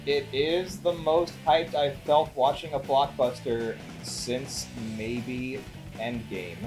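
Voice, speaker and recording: A man's voice: medium pitch, takes it slowly, music in the background, rough recording. A man co-hosting a casual, conversational film review show. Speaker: co-host of a movie review show.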